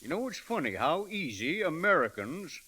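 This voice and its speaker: A man's voice: a little high, sing-song, moderate pace, sharp tone, breathy edge, full character voice. An actor playing a wistful, plain-spoken man in a 1930s radio drama, on a hissy old recording.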